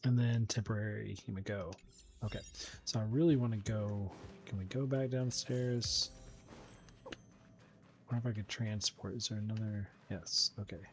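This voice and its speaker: A man's voice: on the low side, fairly even intonation, moderate pace. Man giving casual gameplay commentary. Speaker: gaming channel host. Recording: faint game music behind the voice.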